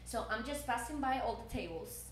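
Cuban-accented young woman, animated. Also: on the high side, a little fast, roomy sound, slight background noise. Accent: Cuban Spanish. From Cuba. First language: Spanish. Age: 20s. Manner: animated, repeats words when starting a thought. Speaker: journalism student guest. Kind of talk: casual chat.

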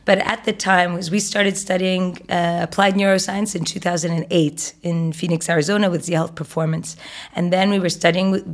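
A woman in her forties, originally from Egypt, speaks as an interview guest. Her voice sits a little low for a woman. She explains things fluently and at length, with frequent ums and uhs. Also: little pitch movement, a little fast. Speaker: guest, fitness educator. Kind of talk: interview conversation.

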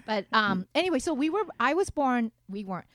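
A woman's voice: a little high, very animated, brisk, a bit bright, no room sound, studio quality. A young woman whose first language is Japanese, a podcast guest telling stories in a playful, chatty way with many fillers and restarts. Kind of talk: casual interview chat.

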